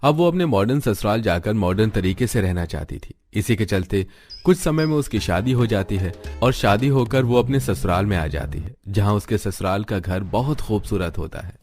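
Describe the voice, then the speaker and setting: A man's voice: on the low side, expressive, moderate pace, darkish tone, faint music behind. A man reading scripted narration for a family cartoon story.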